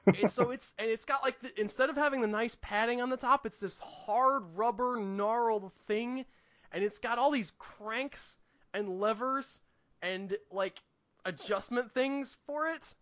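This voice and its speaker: A man with a notably high, bright voice co-hosts a casual podcast. He talks with comic emphasis and repeats himself for effect as he tells stories. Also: measured pace. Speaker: podcast co-host. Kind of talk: casual comedic chat and anecdote telling.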